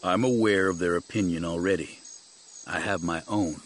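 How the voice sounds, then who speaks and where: A man voicing a grim, stern warrior-monk master in an audiobook, low and tersely commanding. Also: steady tone, normal speed.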